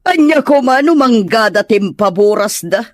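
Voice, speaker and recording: A woman's voice: medium pitch, lively intonation, normal speed, no echo, studio quality, close to an everyday voice. A woman with a Filipino accent playing an older, suspicious and dismissive village matron in an audio drama. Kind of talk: Ilocano audio drama acting.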